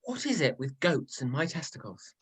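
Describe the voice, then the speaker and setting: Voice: mid-range voice, very animated, moderate pace, husky. An amateur performer playing a sly male trickster god, mocking, smug and playful, in a dramatic reading of a myth.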